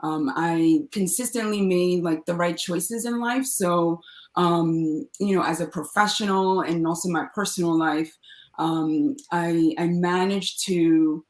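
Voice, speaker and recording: A woman's voice: lowish voice, fairly even intonation, measured pace, roomy sound. A woman from the Dominican Republic, a startup founder, speaking as a podcast guest with many fillers and restarts. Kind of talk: casual interview talk.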